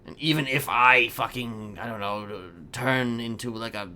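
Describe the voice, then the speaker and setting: A man playing a theatrical, hopeful prisoner in improvised game roleplay, rambling haltingly and repeating himself with sudden emphasis. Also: medium pitch, fairly even intonation, bright, forceful tone, smooth. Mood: rambling, earnest. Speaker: streamer playing a roleplay character. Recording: faint background noise.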